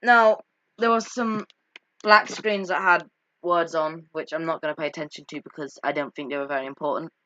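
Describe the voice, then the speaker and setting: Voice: medium pitch, steady tone, normal speed, pushed and bright. A casual, unscripted game commentator who talks hesitantly, often correcting and putting themselves down. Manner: hesitant, self-correcting, self-deprecating. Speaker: video creator commentating on game cutscenes. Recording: slightly dull lo-fi home mic.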